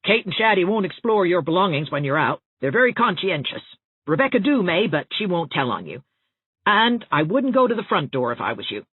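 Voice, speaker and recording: A woman's voice: fairly low pitch, big pitch swings, fairly quick, slightly rough, narrow, tinny audio, voice pushed up. A woman voicing a chatty, well-meaning older small-town busybody in an audiobook, with a folksy, rambling, confiding manner. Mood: confiding, fussy. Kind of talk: audiobook character dialogue. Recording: thin band-limited audio, roomy echo.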